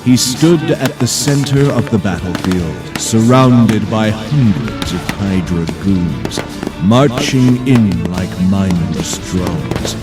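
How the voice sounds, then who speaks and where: Guy narrating an audio drama, dramatic and deliberate, really unhurried, music under him. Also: fairly low pitch, lively intonation.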